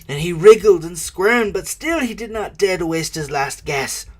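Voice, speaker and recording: A man narrating a storybook dramatically, moving theatrically between hushed and emphatic delivery. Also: high-pitched, animated, moderate pace. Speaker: audiobook narrator.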